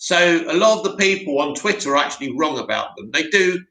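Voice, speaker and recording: Loud, bright-voiced man in an echoey room. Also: a little high, lively intonation, brisk. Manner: loud and opinionated, many restarts. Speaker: live stream host reading viewer comments. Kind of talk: casual live chat.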